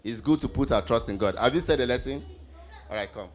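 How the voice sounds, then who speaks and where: A man's voice: medium pitch, brisk. A man hosting an interactive children's Bible teaching session on stage, encouraging and instructive, often prompting with questions and repeating answers. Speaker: host leading children's Bible trivia. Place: event stage before a group of children.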